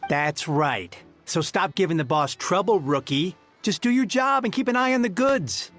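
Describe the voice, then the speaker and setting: Henchman, male, brash and bossy, high lively voice. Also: normal speed, soft background music. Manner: scolding, brash. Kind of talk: game voice acting.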